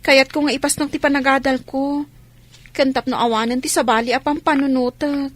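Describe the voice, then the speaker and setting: A woman acting a high-voiced young Ilocano student. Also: steady tone, a little fast, no echo, close to an everyday voice. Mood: worried. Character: earnest young female student, dutiful daughter and sweetheart. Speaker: radio drama actor. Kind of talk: Philippine radio drama acting.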